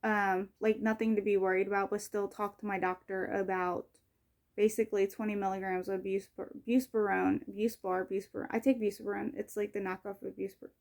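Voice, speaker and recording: A woman's voice: mid-range voice, a little mellow. A woman in her twenties talking casually in a personal vlog, rambling with lots of "like" and "um" and correcting herself as she goes. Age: 20s. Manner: rambling, heavy use of "like" and "um", frequent self-corrections. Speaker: vlogger.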